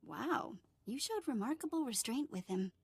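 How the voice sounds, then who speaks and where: An actress playing a cheeky young slave companion in a video game, casual, teasing and sarcastic. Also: female voice, a little high, sing-song, moderate pace, a little mellow, breathy edge, no room sound, full character voice. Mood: wry teasing.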